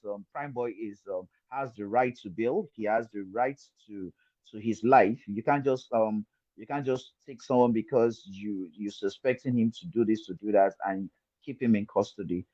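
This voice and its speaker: A man's voice: fairly low pitch, normal speed, mellow, no echo, muffled recording. A man on an online panel, explaining step by step and posing rhetorical questions. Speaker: panel guest. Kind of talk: explanatory commentary.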